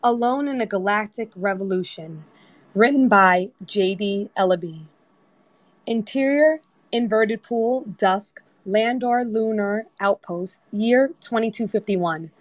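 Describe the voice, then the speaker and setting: A woman's voice: mid-range voice, takes it slowly, dry recording. A woman reading scripted screenplay narration as the narrator of a table read. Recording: online group voice-chat app.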